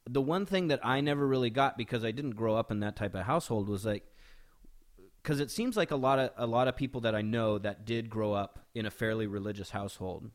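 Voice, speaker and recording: A man's voice: medium pitch, fairly quick. A man hosts an informal interview, asking questions in a loose, conversational way. He restarts his phrasing often and slips into friendly teasing. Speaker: interview host.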